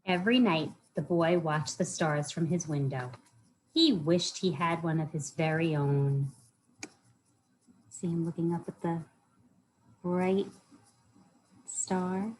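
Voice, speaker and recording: A woman's voice: lowish voice, slow, long pauses, a little hiss or hum, poor audio. A woman hosting a storytime for children, reading aloud and chatting in simple words, often putting questions to her young listeners. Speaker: library storytime host for children.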